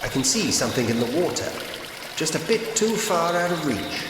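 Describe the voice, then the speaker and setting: A man's voice: fairly high pitch, sing-song, normal speed, background noise. An actor playing a curious, polite man in a ghost-story adventure game, musing wryly to himself in short scripted remarks. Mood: pensive, observant. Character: curious, polite man investigating a haunted Victorian house. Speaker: video game protagonist narrating his actions. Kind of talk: scripted point-and-click adventure game voice acting. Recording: long echoing reverb with music behind. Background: music.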